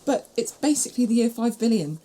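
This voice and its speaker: Woman acting animated young companion, high, mellow voice. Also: brisk, breathy edge. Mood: curious, puzzled. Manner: questioning, wry, expressive. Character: curious, sceptical young woman, new time-travelling companion. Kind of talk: fan-made audio drama acting.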